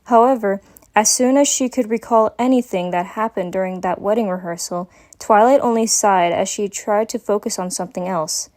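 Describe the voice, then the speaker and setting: A woman's voice: medium pitch, moderate pace, a little mellow. A woman giving a dramatic reading of a scripted story as its narrator.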